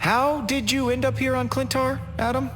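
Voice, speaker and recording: A man voicing a flippant, awkward young space rogue in a video game, with a casual, halting delivery. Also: very high voice, little pitch movement, a bit bright, slight background noise. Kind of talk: video game character dialogue.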